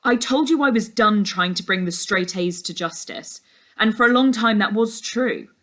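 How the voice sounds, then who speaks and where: A woman's voice: medium pitch, a bit bright, close to an everyday voice. A woman voicing a brooding, burdened male detective in a storybook, speaking earnestly and emphatically.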